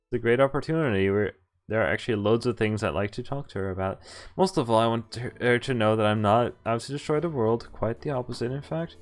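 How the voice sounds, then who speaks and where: A man's voice: mid-range voice, quick pace. A man streaming a visual novel, reading its text aloud and adding casual, amused, informal commentary. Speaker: game streamer. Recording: background music behind the voice. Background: game music.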